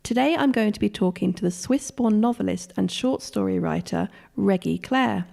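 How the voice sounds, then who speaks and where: A woman hosting a book podcast, reading a scripted introduction and asking measured interview questions. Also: mid-range voice, gravelly, darkish tone.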